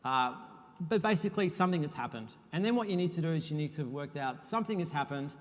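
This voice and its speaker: A man giving a technical conference talk as a software architect, relaxed and self-deprecating, with frequent uhs. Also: on the high side, fast. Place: conference hall. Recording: long hall reverb.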